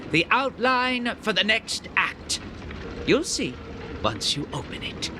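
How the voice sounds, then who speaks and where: A theatrical villain director, scheming and self-satisfied. He speaks very slowly in a high male voice with swooping, grandiose delivery, over noisy ambience. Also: very animated, full character voice. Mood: smug, grand. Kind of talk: video game character acting.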